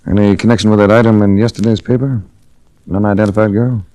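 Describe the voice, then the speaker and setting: A man's voice: on the low side, steady tone, fast, warm tone, no room sound, close to an everyday voice. An American man acting a dry, level-headed investigator hero in a 1940s radio drama, with a wry, clipped delivery. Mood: calm, probing. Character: hard-boiled male adventurer investigator, dry and level-headed. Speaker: narrator and lead actor. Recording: noisy restored 1940s radio recording.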